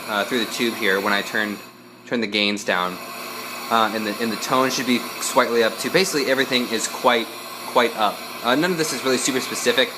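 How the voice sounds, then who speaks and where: Man explaining gear in a bright voice. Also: medium pitch, a little hiss or hum, low-quality recording. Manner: hesitant, pausing mid-phrase, explanatory. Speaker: musician-composer giving an instructional explanation. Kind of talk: informal tutorial demonstration.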